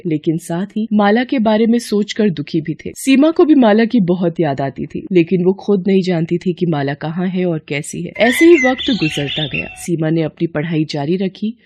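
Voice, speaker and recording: Mellow female story narrator. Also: on the low side.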